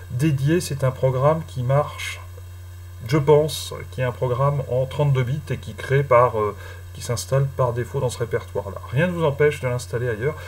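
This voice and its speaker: A man giving a casual screen-recorded software tutorial, talking slowly with many hesitant fillers. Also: mid-range voice. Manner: hesitant, many "euh" fillers, chatty and apologetic. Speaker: tutorial host.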